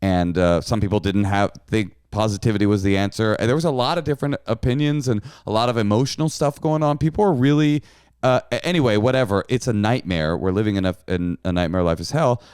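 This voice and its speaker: Man, middle-aged, lowish voice, slightly hoarse, rambling, self-mocking, dry studio sound. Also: quick pace, a little mellow, no echo. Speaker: podcast co-host. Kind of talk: casual comedic chat.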